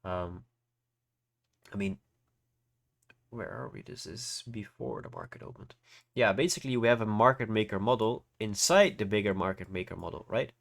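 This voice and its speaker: Low-voiced congested man teaching casually. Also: normal speed, creaky, long pauses. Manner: informal, often checks understanding with "right?". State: congested from hay fever. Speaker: trading livestream host and teacher. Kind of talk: casual live tutorial with chat replies. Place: voice-over on an online livestream.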